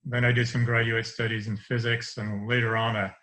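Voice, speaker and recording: French-accented guy in his 50s, bright forceful voice, rambles a bit on a webcam call from his study. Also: medium pitch, little pitch movement, a little fast, rough recording. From France. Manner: rambling, restarts often, light laughs. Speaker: interview guest. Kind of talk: casual conversation over video call. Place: home study with bookshelves. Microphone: video call webcam.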